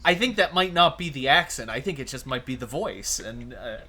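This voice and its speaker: A man hosting a casual online interview, relaxed, laughing often and putting in short replies. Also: mid-range voice, normal speed, breathy edge. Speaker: interview host.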